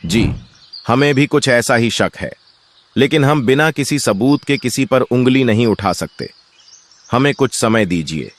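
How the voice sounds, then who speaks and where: A measured police officer in a cartoon, played by a man. His medium-pitched voice is formal and explanatory, with a defensive edge. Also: animated, natural voice. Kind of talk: Hindi cartoon voice acting.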